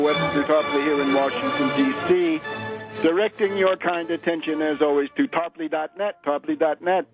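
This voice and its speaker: Slightly high-voiced man doing radio commentary. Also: highs cut off. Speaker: radio show host and political commentator. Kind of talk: unscripted political radio commentary.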